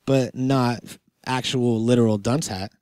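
A man hosts a podcast with loose, joking banter. His voice sits at a middling pitch and he swears casually. The sound is close and dry, with little room echo. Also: steady tone, measured pace, a little mellow. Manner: joking, profane. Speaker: podcast host.

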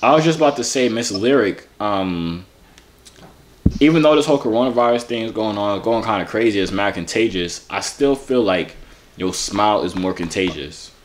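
A man's voice: fairly low pitch, normal speed. A man, chatting casually and flirtatiously in a playful, teasing way. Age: 20s. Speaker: vlogger chatting with strangers online. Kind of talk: casual flirty video chat. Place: indoor home gym or garage. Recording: clearly noisy room recording with clicks.

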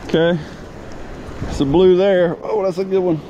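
A man, hosting a casual fishing vlog, chatty and excitable when a fish hits, filmed on an action camera outdoors on a beach. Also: high voice, moderate pace, lo-fi sound. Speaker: fishing channel host. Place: open ocean beach outdoors in wind and rain. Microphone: action camera, chest or handheld. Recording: windy beach with loud surf noise.

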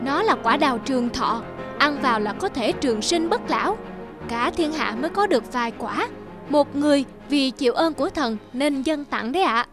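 Brief: fawning, sneering cartoon courtier voiced by a woman, bright high tone. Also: normal speed, faint music behind. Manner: flattering, smooth-tongued, sneering to rivals. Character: fawning scheming middle-aged male court official.

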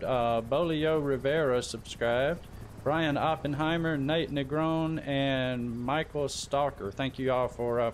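A man hosting a casual gaming livestream, chatting informally and giving shout-outs to viewers by name. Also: medium pitch, a little hiss or hum. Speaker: live stream host.

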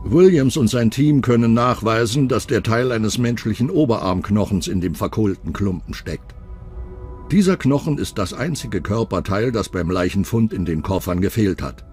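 A man's voice: fairly low pitch, lively intonation, normal speed, darkish tone. A man reading scripted true-crime documentary narration as the narrator. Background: background music.

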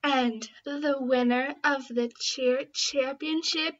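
Casting: amateur female voice actor, high pitch, unhurried audition reads, slightly dull audio. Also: steady tone. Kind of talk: acted audition lines in various characters.

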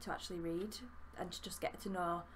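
A woman's voice: fairly low pitch, fairly even intonation, brisk, slight background noise. A young woman with a Yorkshire accent, chatting enthusiastically while reviewing a book at her desk, with plenty of fillers. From Yorkshire, England. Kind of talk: casual book review vlog. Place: small room with bookshelves, indoors.

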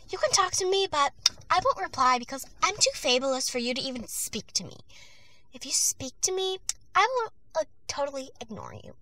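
A woman's voice: sits high, pushed and bright, heavily acted. A woman voicing a vain, flighty teenage princess with a self-important, chattering manner in an amateur cartoon audition.

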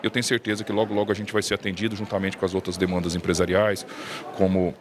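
A Brazilian man in his thirties answers a reporter steadily and earnestly, speaking into a handheld microphone in an indoor hallway. His voice is low. A murmur of people carries behind him. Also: quick pace, a crowd in the background. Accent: Brazilian Portuguese. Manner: steady, earnest, with filler restarts. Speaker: city councilman interviewed. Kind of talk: press interview. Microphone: handheld reporter mic.

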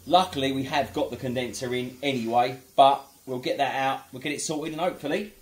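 A man's voice: mid-range voice, steady tone, fairly quick. A man in his forties with a British accent, explaining a repair step by step in a casual, matey way. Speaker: car mechanic and video presenter. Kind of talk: hands-on how-to demonstration, casual. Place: cluttered car repair garage, indoors. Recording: clear workshop background noise.

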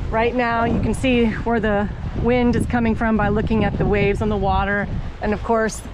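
A middle-aged woman hosting a sailing vlog, chatting and explaining step by step from aboard a small boat on a lake. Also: fairly high pitch. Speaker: sailing channel host. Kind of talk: casual sailing vlog narration. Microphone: action camera on the boat. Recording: wind noise on camera mic. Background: wind and water noise.

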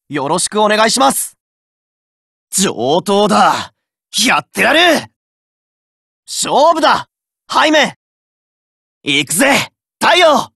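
A hot-blooded teenage card fighter from a game, all rough boyish bravado. The voice is bright and forceful, leaping between low growls and high shouts. Between the cries he drags words out slowly, recorded close and dry in a studio. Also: dry recording, heavily acted. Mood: resolute. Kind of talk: game voice lines, shouted battle calls.

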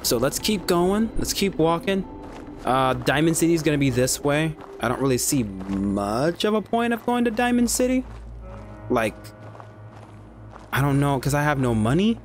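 Male streamer, relaxed commentary, curses freely, soft game music underneath. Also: on the high side, normal speed. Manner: laid-back, swears freely. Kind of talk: casual gameplay commentary.

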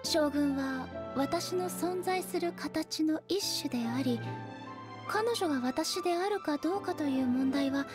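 An actress playing a composed, solemn shogun deity in an anime game, speaking formally and with measured, stately delivery. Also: female voice, high voice, music in the background. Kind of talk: Japanese anime game voice acting.

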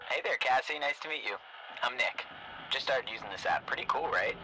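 A man's voice: mid-range voice, fairly quick, background noise, natural voice. An actor playing a friendly, slightly awkward young man helping through a phone app, chatty and casual. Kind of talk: naturalistic game voice acting.

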